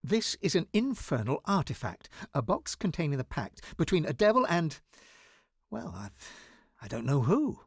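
An actor playing a guarded, anxious scholar wizard in a video game, speaking in an articulate, wry and dramatic manner. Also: male voice, a little high, very animated, normal speed, breathy edge, no echo, clean studio sound, full character voice. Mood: earnest, troubled.

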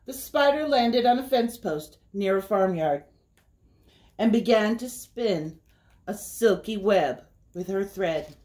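A middle-aged woman hosting a children's story time, reading aloud in a deliberate, sing-song way. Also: medium pitch, expressive, a little mellow. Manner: slow, deliberate, sing-song repetition for young listeners. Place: small indoor room by a window.

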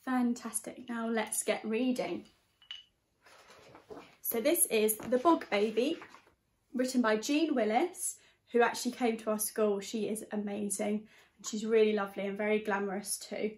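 A young woman reading a picture book aloud to young children in an expressive, teacherly way. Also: a little high, unhurried, slight background noise. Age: young adult. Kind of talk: storybook read-aloud. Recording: echoey room sound.

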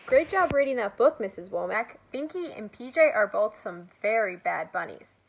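A woman's voice: medium pitch, animated, normal speed, vocal fry, low-quality recording. A woman teacher giving an upbeat video message to a class. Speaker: teacher commenting to students.

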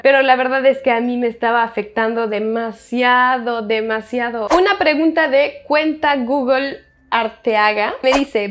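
Girl in her 20s doing a chatty q&a vlog, w/ touch, kinda high voice. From France. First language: French. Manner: chatty, informal, self-deprecating. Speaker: vlogger answering viewer questions. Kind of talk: casual chat to camera. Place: bedroom, indoors. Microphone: lapel mic.

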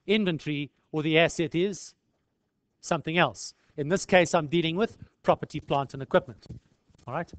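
A man's voice: on the high side, a bit bright, no room sound. A South African man lecturing in an informal tutorial style, conversational and peppered with rhetorical questions. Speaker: accounting lecturer.

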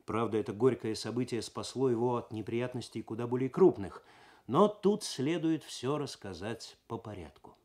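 A man's voice: mid-range voice, normal speed. A man reading a detective story expressively, in the dramatic literary style of 1970s Soviet radio. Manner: expressive, actorly, suspenseful. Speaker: narrator, reader.

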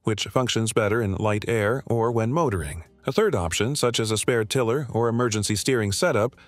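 Male voice-over narrator, lowish voice, calm and measured, soft music underneath. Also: no echo. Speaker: solo sailor narrator.

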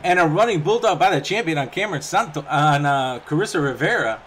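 Excitable man calling video game wrestling. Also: on the high side, fast, a little hiss or hum. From Puerto Rican background. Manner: casual, chatty asides between calls, excited on big moves. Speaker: wrestling commentator. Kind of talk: play-by-play commentary over a video game. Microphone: home microphone, voice-over on game footage.

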